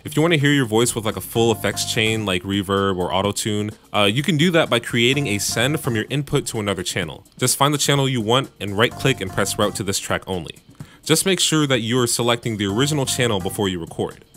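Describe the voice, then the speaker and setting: A man with a bassy voice casually narrating a home recording tutorial, with joking asides, on a studio mic in his home room. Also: expressive, quick pace, fairly bright tone. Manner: laid-back, joking asides. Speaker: music producer and YouTube tutorial host. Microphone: studio microphone through audio interface.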